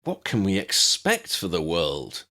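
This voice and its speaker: A man acting a low-voiced, impassioned wizard demagogue. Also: wildly swooping pitch, sharp tone, exaggerated voice. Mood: solemn rallying. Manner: rhetorical, measured phrases with emphatic stresses and dramatic pauses. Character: charismatic male wizard demagogue rallying a meeting, impassioned and grave. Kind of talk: political rally speech in an audiobook.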